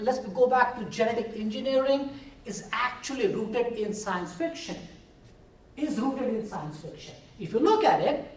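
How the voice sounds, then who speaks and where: Indian-accented man lecturing in a hall. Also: very high voice. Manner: chatty and self-deprecating, with fillers and jokes. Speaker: biology professor. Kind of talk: university lecture. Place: lecture room. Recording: roomy hall, heard from far.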